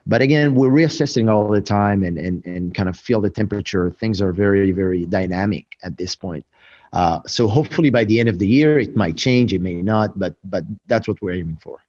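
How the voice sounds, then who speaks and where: A man who owns a restaurant answers interview questions, halting with many fillers and restarts. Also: fairly low pitch, a little mellow. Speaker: restaurant owner. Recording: dull lo-fi sound.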